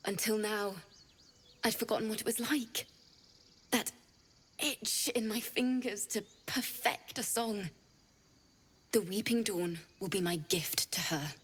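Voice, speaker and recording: A woman playing a gentle, grieving young tiefling bard in a video game, speaking in a halting, confiding way. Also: medium pitch, husky. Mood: wistful, grateful. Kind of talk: video game drama acting.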